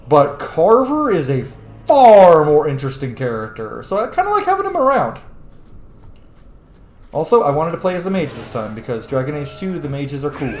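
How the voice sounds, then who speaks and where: Male gaming host, lively swooping delivery, chatty and foul-mouthed, in a roomy space with faint music behind. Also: fairly high pitch. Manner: sweary, chatty, theatrical. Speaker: host of a video game let's-play. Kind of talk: casual gaming commentary. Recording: roomy echo.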